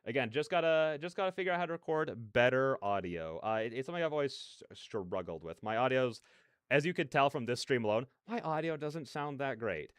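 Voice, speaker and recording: A man with a smooth, clear voice talks casually to his livestream. He rambles in a scattered, self-interrupting way and drops in playful nonsense sounds as filler. The sound is dry and close. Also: mid-range voice, animated, brisk, no echo. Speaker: livestreamer, host. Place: large, fairly empty room with soundproofing panels.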